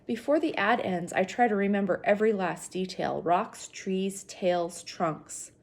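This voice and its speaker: A woman's voice: mid-range voice, measured pace. A woman reading a children's novel aloud expressively, as a teacher does for students, speaking directly to young listeners.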